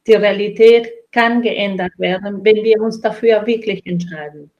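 A woman's voice: mid-range voice, darkish tone. A middle-aged woman with a Latin American accent, giving earnest, reflective answers as an interview guest. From Chile and Brazil. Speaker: interview guest, trainer and coach. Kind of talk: reflective interview answer about own life and work.

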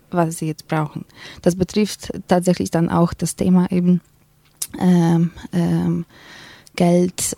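A woman's voice: lowish voice, gravelly, mellow, no room sound. A woman from Poland with a Polish accent, a festival organizer being interviewed, speaking hesitantly with many fillers. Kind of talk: radio interview.

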